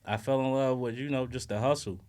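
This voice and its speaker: A man's voice: medium pitch, brisk, darkish tone. A man with a Philadelphia accent talking casually as an interview guest, in slangy speech peppered with "you know" tags. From South Philadelphia, US. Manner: slangy, frequent "you know what I'm saying".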